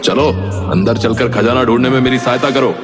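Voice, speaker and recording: A man's voice: medium pitch, steady tone, a little fast, natural voice. A man voicing a foolish, impatient cartoon king with a pompous, scolding, commanding manner. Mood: insistent. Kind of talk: cartoon voice acting. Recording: music behind the voice.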